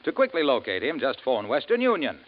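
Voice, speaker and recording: A man's voice: medium pitch, huge pitch swings, fairly quick. A man delivering a 1950s radio commercial as announcer, with grandiloquent, punchy salesmanship. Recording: muffled vintage radio recording.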